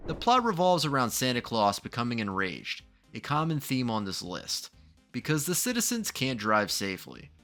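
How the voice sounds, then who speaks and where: A man's voice: mid-range voice, pushed and bright. A man narrating a scripted video essay in a dramatic, ominous true-crime manner, posing rhetorical questions. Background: background music.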